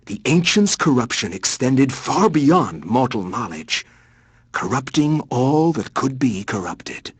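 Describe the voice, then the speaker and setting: An actor playing a grave scholarly researcher, narrating dark history solemnly and dramatically for a video game in a husky voice. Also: male voice, medium pitch, no room sound.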